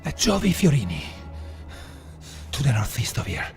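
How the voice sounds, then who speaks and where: A man's voice: mid-range voice, wildly swooping pitch, moderate pace, creaky, soft background music. An actor playing a worried, earnest partisan man with an urgent, grave manner. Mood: grave, anguished.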